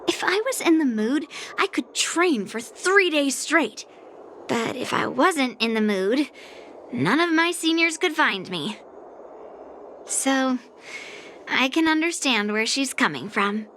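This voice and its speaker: High-pitched, breezy young swordswoman. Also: expressive, slow, fairly bright tone, a little hiss or hum, exaggerated voice. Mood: breezy, friendly. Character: cheerful, confident young female swordswoman, devoted to swords. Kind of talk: video game story dialogue acting. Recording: background music behind the voice.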